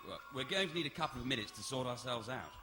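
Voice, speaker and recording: A man's voice: mid-range voice, lively intonation, quick pace, over music, close to an everyday voice. An actor playing a nervous, placating young man in an audio drama, with hesitant starts. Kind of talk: audio drama performed before a live audience.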